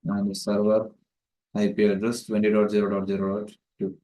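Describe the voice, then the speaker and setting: Monotone man tutor in an echoey room. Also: fairly low pitch, a little fast. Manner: methodical, explanatory. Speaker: networking tutor. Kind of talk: step-by-step tutorial narration. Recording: roomy echo, distant microphone.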